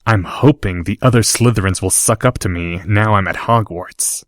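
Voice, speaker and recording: A man's voice: lowish voice, moderate pace, no echo, clean studio sound, lightly acted. A man voicing a proud, snobbish rich boy who talks down to others. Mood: haughty. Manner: lofty, condescending.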